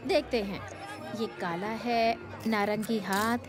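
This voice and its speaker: An actress playing a sharp, feisty young woman in a Hindi cartoon dub, teasing and assertive in manner. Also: medium pitch, steady tone, slow, a crowd in the background, lightly acted. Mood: curious. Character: sharp, feisty, knowledgeable young female companion. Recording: music behind the voice.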